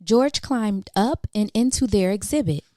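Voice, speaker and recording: A woman with a soft, mellow voice reads a children's picture book aloud. Her pitch moves in lively swoops as she plays up questions and exclamations for young listeners. The sound is dry and close. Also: measured pace, no echo. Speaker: children's storybook reader, channel host.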